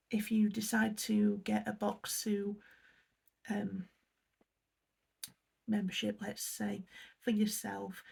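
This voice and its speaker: A woman's voice: mid-range voice, creaky, darkish tone, slight background noise. An English woman chatting casually as she unboxes a snack box, reading the product blurbs aloud and apologising for her pronunciation. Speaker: unboxing vlogger. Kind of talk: casual chat while unboxing.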